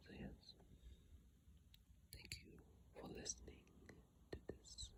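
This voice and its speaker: A person whispering in an ASMR style, breathy and airy, reading aloud with informal, halting, unhurried asides into a phone microphone up close. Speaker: ASMR content creator. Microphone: iPhone microphone, close up.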